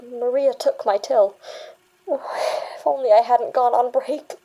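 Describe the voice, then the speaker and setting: A woman acts out a young female bank worker in an audio drama, giving a witness statement. The voice is fairly high and soft-edged, a little dark in tone. The delivery is halting and stammering, yet defensive and insistent. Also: steady tone, poor audio. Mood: shaken, halting. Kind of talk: dramatic audio fiction acting.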